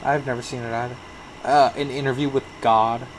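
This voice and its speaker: A man with a mid-range voice talks through cast lists in relaxed podcast chat. He hesitates now and then. A steady hum runs clearly underneath. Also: mid-range voice, fairly quick. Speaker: podcast co-host. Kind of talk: casual movie review chat.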